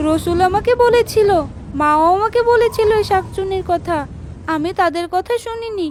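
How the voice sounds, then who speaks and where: A woman's voice: very high voice, moderate pace, a little hiss or hum, pitched above the performer's own voice. A woman voicing a naive young bride in a fairy tale cartoon, urgent and pleading in fright. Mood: frightened. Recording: music behind the voice.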